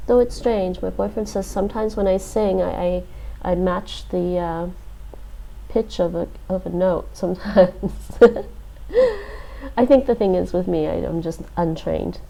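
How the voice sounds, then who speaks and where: A woman streamer thinking aloud as she works through a lesson, hesitant, with many fillers and restarts, relaxed and self-deprecating. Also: on the low side, unhurried, gravelly, rough recording. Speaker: live streamer. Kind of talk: thinking aloud while doing a language app.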